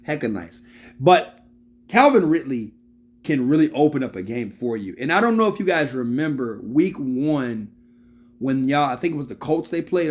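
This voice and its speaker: A man in his early thirties giving casual, slangy football analysis, repeating his points for emphasis and calling out to viewers. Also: on the high side. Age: 30s. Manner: animated, repeats phrases for emphasis. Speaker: sports video host.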